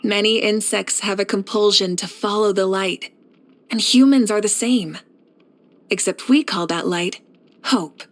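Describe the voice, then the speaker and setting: A woman playing a confident, kindly fire archon and war leader in a video game, speaking in an assured, measured, encouraging way. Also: medium pitch, natural voice. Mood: earnest, assured. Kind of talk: scripted video game character voice lines.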